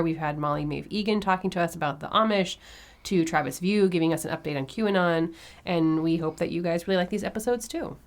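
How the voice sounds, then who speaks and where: Nasal woman podcaster with vocal fry. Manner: animated, lots of pitch peaks and valleys. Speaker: podcast co-host. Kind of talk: casual chat.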